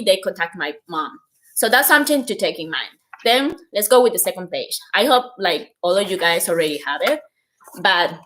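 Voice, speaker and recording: A woman's voice: medium pitch, a bit bright. Costa Rican woman explaining informally. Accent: Costa Rican Spanish. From Costa Rica. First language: Spanish. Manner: explanatory, repeats and stresses key points, frequent "like". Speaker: host giving application advice. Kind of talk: informal explainer to camera on a livestream.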